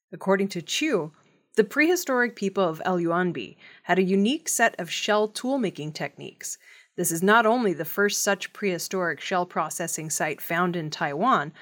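A woman's voice: mid-range voice, fairly bright tone. A woman reading scripted news reports as a narrator. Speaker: news reader.

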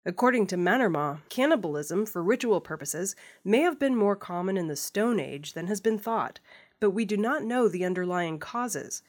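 A woman news host reading scripted stories in a measured, formal manner. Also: mid-range voice, lively intonation, darkish tone.

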